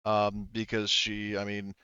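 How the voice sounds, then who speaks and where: A man's voice: fairly low pitch, completely flat delivery, measured pace, bright, forceful tone, clear, resonant tone, no echo, dull audio. A man running a tabletop roleplaying game, loosely narrating and relaying what characters say in an improvised way. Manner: loose, relays characters' speech in third person. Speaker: game master.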